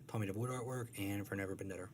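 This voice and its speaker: A man being interviewed as a guest, talking in a casual, halting, self-deprecating and joking way. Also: lowish voice, steady tone, slight background noise. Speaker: guest, comic strip artist.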